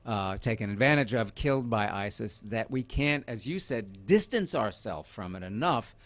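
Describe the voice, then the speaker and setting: A man explaining his thoughts conversationally as an interview guest, halting and rephrasing as he goes. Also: on the low side, animated, a bit bright, no room sound. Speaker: interview guest, audio producer and director.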